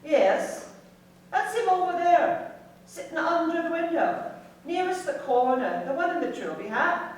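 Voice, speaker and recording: An actress playing a proud, tart, teasing London barmaid in a radio play. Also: female voice, very high-pitched, expressive. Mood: brisk. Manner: brisk, teasing, haughty. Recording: very echoey, distant from the mic.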